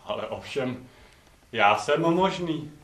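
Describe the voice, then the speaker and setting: An actor playing Aesop, an ugly, witty and impudent slave who tells tales, in theatrical stage comedy style. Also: male voice, medium pitch, animated, a bit bright. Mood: wry, unfazed. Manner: theatrical, cheeky, declaiming. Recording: echoey theatre stage, distant microphone.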